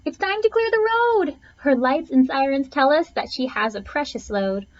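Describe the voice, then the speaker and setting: A woman's voice: high-pitched, lively intonation, normal speed. A woman reading a rhyming children's picture book aloud with theatrical phrasing, stretching and punching key words. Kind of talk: expressive children's storybook read-aloud, rhyming verse. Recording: dull, lo-fi sound.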